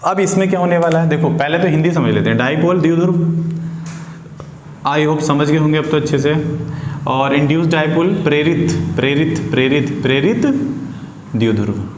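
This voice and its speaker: A man's voice: on the high side, lively intonation, moderate pace. A man teaching chemistry informally, repeating key phrases, asking and answering his own questions and mixing in English technical terms. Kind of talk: informal classroom-style lesson for students. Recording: roomy echo with faint background noise.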